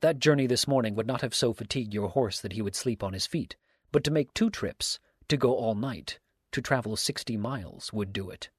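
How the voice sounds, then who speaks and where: A stern, righteous countryman, as a male audiobook narrator plays him, speaks in a close, dry sound at a medium pitch. His manner is unhurried and formal, weighing each phrase like a moral argument. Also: husky, no room sound, close to an everyday voice. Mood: coolly reasoning. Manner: measured, formal, sermonizing. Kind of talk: audiobook character dialogue.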